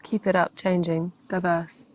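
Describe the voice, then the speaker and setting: A woman's voice: lowish voice, little pitch movement, a little slow, a little mellow, slight background noise, poor audio. A woman working as a dating coach, giving casual, opinionated advice in a conversational commentary.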